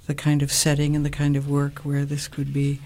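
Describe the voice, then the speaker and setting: A woman of sixty-eight explaining her work as an interview guest, in a measured, halting, thoughtful way. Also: low-pitched, monotone, mellow, slight background noise. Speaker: interview guest, co-founder of a women's program.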